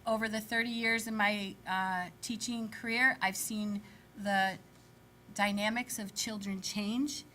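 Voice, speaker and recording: Loud, bright-voiced woman in her fifties. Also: mid-range voice, measured pace, a little hiss or hum. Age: 50s. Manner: loud, energetic, chatty. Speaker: school board candidate. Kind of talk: candidate statement at a public forum.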